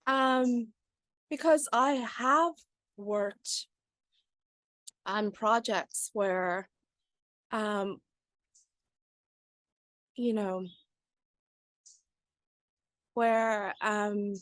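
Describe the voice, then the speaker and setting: A woman from Vietnam, a writer and panel guest, speaking thoughtfully and haltingly in a conversational discussion. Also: medium pitch, fairly bright tone, no echo.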